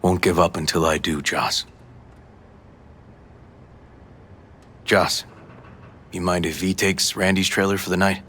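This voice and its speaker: Deep-voiced, determined male detective. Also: fairly even intonation, normal speed, a bit bright. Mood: earnest, subdued. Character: gruff, determined detective and protective uncle. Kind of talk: video game voice acting.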